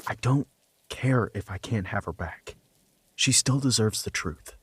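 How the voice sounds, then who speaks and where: A man playing a bitter, jilted lover defying his employer in an audio drama, husky and heated in his accusing delivery. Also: lowish voice, a little slow, noisy background, natural voice. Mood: defiant. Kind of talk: dramatic audio fiction acting.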